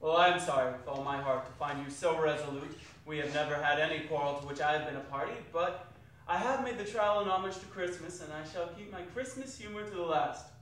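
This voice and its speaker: A man playing a warm-hearted, earnest nephew with a hearty theatrical manner in a stage reading. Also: on the high side, brisk, lightly acted. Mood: warm, conciliatory. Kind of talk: dramatic stage reading of a classic story. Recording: distant, very echoey, noisy hall recording.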